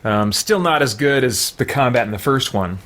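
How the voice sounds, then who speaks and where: A man with a medium-pitched voice gives casual gaming commentary. He thinks aloud in a loose, rambling way, as if talking half to himself and half to viewers. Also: expressive, moderate pace, a bit bright. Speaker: gamer playing and commenting on a role-playing game. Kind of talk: casual let's play commentary.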